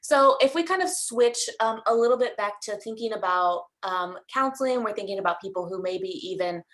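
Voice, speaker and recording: Woman, interviewer, friendly, rambling questions, medium pitch. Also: lively intonation, normal speed, fairly bright tone. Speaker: interviewer, fellow counselor.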